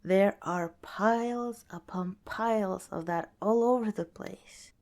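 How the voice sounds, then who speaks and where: A woman playing a kind, cautious young scavenger in an ASMR roleplay, speaking softly and hesitantly, as if chatting to the listener. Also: medium pitch, takes it slowly. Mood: wry, musing. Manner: soft, hesitant, conversational, with sighs and small laughs.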